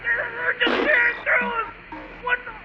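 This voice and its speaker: A man's voice: very high-pitched, completely flat delivery, moderate pace. A man playing a terrified Marine corporal in a video game, calling for help over a radio. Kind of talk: video game character acting. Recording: dull radio, loud noise and din.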